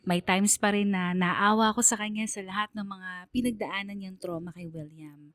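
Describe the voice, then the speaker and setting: A woman's voice: medium pitch, fairly even intonation, normal speed, fairly bright tone, dry recording, natural voice. An actress playing a troubled young woman who narrates her own story in a radio drama, confiding and earnest. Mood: wistful, confiding.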